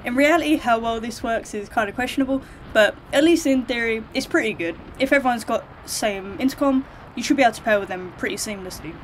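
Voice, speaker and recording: A woman's voice: fairly high pitch, fast, hardly any pauses, poor audio. British woman, casual explainer, some background noise. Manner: informal, frank, hedged opinions. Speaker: motorcycle vlogger giving buying advice.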